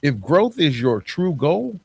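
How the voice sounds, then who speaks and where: A man's voice: a little high, big pitch swings, slow pace, gravelly, slightly rough. Man giving an emphatic motivational podcast talk. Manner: conversational, informal, with "right?" check-ins. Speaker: podcast host. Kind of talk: motivational self-improvement monologue.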